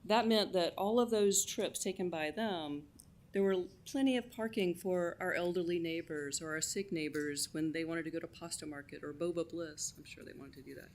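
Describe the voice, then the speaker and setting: A woman's voice: lowish voice, moderate pace, a little mellow. A middle-aged woman giving an enthusiastic public comment at a city council meeting, chatty with lots of "um"s. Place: council chamber.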